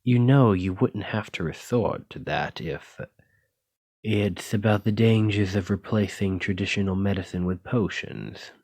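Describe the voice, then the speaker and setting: A man's voice: lowish voice, unhurried, a little mellow, no room sound, studio quality. A man playing a flirty, possessive dragon-blooded librarian in an intimate ASMR roleplay, with a teasing, coy way of drawing out his phrases. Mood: curious, teasing.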